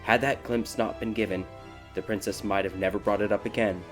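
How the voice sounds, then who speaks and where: A man reading a scripted dark fairy tale as a dramatic audiobook narrator. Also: lowish voice, steady tone, brisk. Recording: background music behind the voice.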